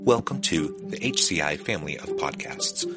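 A man hosting a podcast, interviewing a guest in a relaxed, friendly way. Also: a bass voice, normal speed, loud music behind the voice. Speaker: podcast host.

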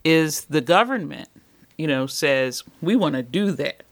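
Gravelly-voiced pastor speaking as a guest. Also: male voice, fairly high pitch, lively intonation, a bit bright, no echo. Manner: quick, rambling with fillers. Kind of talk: casual conversation.

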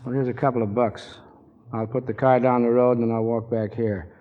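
A man's voice: mid-range voice, flat delivery, fairly quick, close to an everyday voice. Guy acting a casual tough guy in a 40s radio play, clipped talk, dull roomy old recording. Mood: smooth, scheming. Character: terse man lying in wait, bribing a gatekeeper.

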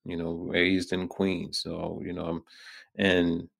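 A man in his fifties from New York, hosting an interview in a measured, thoughtful way. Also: sits low, monotone, gravelly. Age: 50s. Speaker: host. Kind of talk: radio interview.

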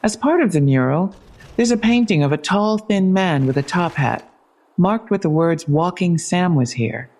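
A woman's voice: on the low side, lively intonation, moderate pace, a little mellow. A woman playing a persistent, sardonic police detective in an audio drama, questioning in a pointed, pressing way. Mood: sardonic, probing.

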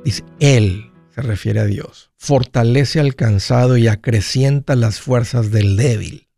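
A man's voice: medium pitch, slow, creaky, dark tone, dry recording, faint music behind. A man hosting a call-in advice show, talking in a folksy, conversational way. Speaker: host of a personal finance call-in show.

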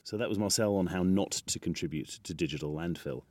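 A man's voice: sits low, fairly quick, darkish tone. A man hosting a podcast, putting interview questions and narrating links between guests.

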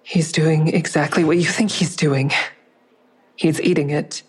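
A young woman amateur sleuth in a fiction podcast. Her voice sits a little low, and she sounds anxious yet determined, speaking in a natural, understated way. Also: fairly even intonation, measured pace, slightly rough. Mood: uneasy, defensive. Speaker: lead character and first-person narrator. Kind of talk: naturalistic audio drama acting.

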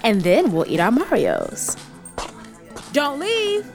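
A woman's voice: a little high, huge pitch swings, slow pace, over music, full character voice. A woman acting a brash, cheeky sneak thief in an audio comedy drama, with glib, teasing, slangy patter. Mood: breezy, probing. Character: brash, cheeky sneak thief named Simon, sociable hustler.

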